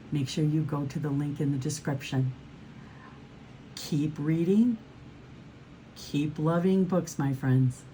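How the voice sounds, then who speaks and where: Low, mellow woman reading to children. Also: slight background noise, low-quality recording. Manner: encouraging, addresses young listeners with questions. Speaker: read-aloud host for children. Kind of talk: children's storybook read-aloud with asides to listeners.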